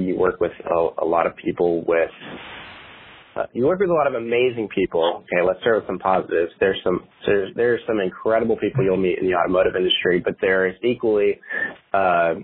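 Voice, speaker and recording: A man's voice: deep voice, fast, a little hiss or hum. A man in his twenties talking casually as an interview guest, rambling with many fillers and restarts. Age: 20s. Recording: tinny phone-like audio.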